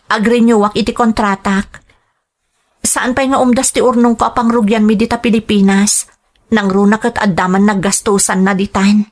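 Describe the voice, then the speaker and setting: A woman's voice: medium pitch, fairly even intonation, normal speed, close to an everyday voice. A woman playing an earnest, respectful migrant-worker mother in an audio drama. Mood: earnest, pleading. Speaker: voice actor. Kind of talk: Ilocano audio drama acting.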